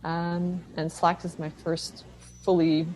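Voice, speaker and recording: A woman's voice: on the low side, level tone, slow pace, darkish tone. A woman from Canada answering interview questions conversationally as a film director. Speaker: interview guest, writer-director. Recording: noisy line with background ambience.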